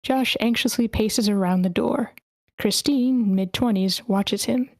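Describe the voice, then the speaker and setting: A woman's voice: mid-range voice, measured pace, a little mellow, no room sound. A woman hosting an online table read, casually organizing and reading screenplay stage directions aloud. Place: online meeting.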